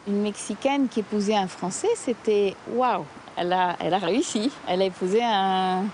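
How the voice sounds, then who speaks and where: A woman who founded a heritage association, talking in a lively, chatty way during a conversation. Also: mid-range voice, normal speed, a little hiss or hum. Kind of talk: interview conversation.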